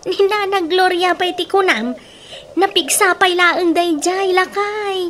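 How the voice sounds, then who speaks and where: A woman's voice: extremely high pitch, a little slow, a bit bright, a little hiss or hum. A woman acting in a comedy radio drama as a plain-spoken, deferential farm labourer. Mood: matter-of-fact.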